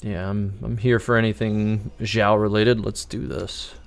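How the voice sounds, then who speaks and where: A man's voice: on the low side, flat delivery, unhurried, creaky. A man streaming a video game, thinking aloud in easygoing, casual live commentary.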